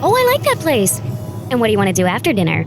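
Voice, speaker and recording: A woman's voice: fairly high pitch, huge pitch swings, fast talker, music in the background. A woman acting a nagging but playful young girlfriend in an audio drama.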